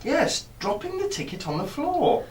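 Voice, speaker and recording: A man's voice: very high voice, huge pitch swings, normal speed, full character voice. Man playing a flustered, earnest young Englishman, echoey. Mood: agitated. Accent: British English. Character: earnest, flustered young man, devoted amateur sleuth's companion. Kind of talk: live radio play acting. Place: live stage performance recorded from the audience area. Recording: echoey hall recorded from far off.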